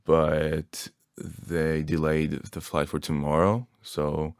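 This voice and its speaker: A young man from Bulgaria, a native Bulgarian speaker, chatting casually as a podcast guest, relaxed and laughing often. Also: rumbling low, slow, clean tone, dry recording, studio quality. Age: young adult.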